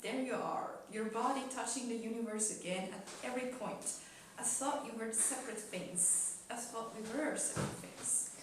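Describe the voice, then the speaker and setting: Gentle cosmic spirit played by a woman, poetic dramatic reading, wistful, measured, raised pitch, very roomy distant recording. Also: lightly acted. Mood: gentle, solemn. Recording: echoey room, distant mic, faint noise.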